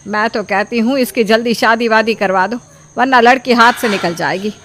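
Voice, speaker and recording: Nagging middle-aged mother, woman performer, bright and slightly high, insistent, animated family drama. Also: moderate pace, lightly acted. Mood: worried. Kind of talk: animated Hindi family story acting.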